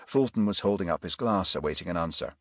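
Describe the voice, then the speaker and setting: A man's voice: sits low, animated, quick pace, no room sound, studio quality. A man reading a crime thriller audiobook as scripted narration.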